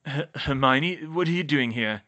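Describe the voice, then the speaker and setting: A man's voice: on the high side, normal speed, pushed and bright, dull audio, pitched above the performer's own voice. A man voicing loyal, mischievous teenage wizard twin brothers in a story, in a casual, earnest way. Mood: weak, moved. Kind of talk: audiobook character voice.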